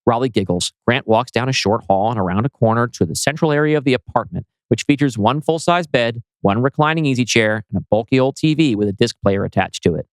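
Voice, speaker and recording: A man's voice: medium pitch, brisk, hardly any pauses, no echo, low-quality recording. A man reading scripted screenplay narration as writer and narrator.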